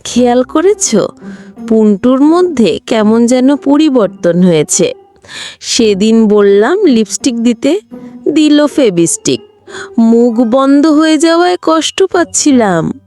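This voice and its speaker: Woman as a plaintive, high-voiced cartoon mother. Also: sing-song, takes it slowly, dark tone, soft background music. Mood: complaining. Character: distressed, complaining mother of a naughty boy. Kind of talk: cartoon dialogue.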